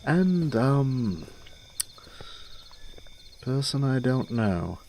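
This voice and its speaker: A man's voice: medium pitch, very animated, extremely slow, a little mellow, slight background noise, heavily acted. A man playing a suave young nobleman in a fantasy audio drama, airy, genteel and teasing. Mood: breezy, teasing.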